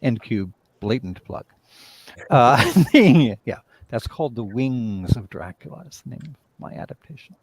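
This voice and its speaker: A man's voice: medium pitch, animated, unhurried, no echo. A man talking casually as a playwright in a live discussion, rambling and often interrupting himself. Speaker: playwright and director, interview guest.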